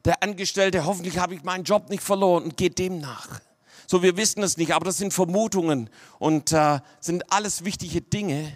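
Male pastor, slightly high voice, conversational sermon delivery with hushed emphasis. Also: normal speed. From Swabia, Germany.

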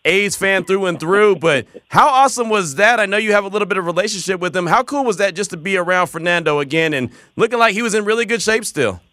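Fast, bright-voiced sports radio host. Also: male voice, high voice, dry recording, clean studio sound. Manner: energetic, upbeat, repeats phrases. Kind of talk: sports talk radio hosting. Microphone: studio mic.

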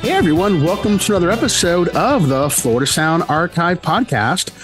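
A man's voice: sits high, lively intonation, brisk, over music. A man hosting an interview podcast, introducing and questioning in an upbeat conversational style. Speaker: podcast host.

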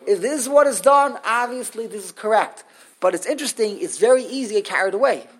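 Animated woman teaching a Torah class. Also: a little high, fast, breathy edge. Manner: animated, acts out quotes, mixes in Hebrew terms. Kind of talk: Torah class lecture to a small group.

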